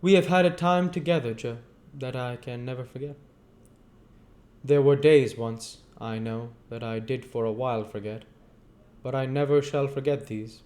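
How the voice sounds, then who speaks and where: Man acting earnest young gentleman, raised pitch. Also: normal speed, natural voice. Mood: earnest, grateful. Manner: earnest, affectionate, educated phrasing. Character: Pip, earnest grateful convalescent young gentleman.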